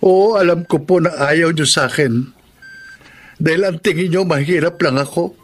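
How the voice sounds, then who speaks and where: Actor playing a high-voiced, wounded, bitter man. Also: lightly acted. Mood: hurt, subdued. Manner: emotional, drawn-out melodramatic delivery. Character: wounded, bitter husband of humble means. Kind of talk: Filipino romantic radio drama acting.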